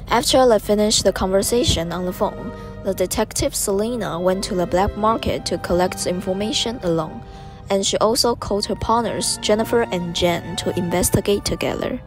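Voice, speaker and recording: A woman's voice: medium pitch, normal speed. A woman with a Taiwanese accent reading scripted narration for a student English radio drama. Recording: narration over clear background music.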